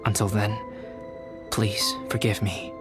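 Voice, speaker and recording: An actor playing a thoughtful, courteous young scholar in a video game, speaking in a measured, formal, reserved way. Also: male voice, fairly low pitch, flat delivery. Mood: pensive, earnest. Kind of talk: scripted video game dialogue. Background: game music.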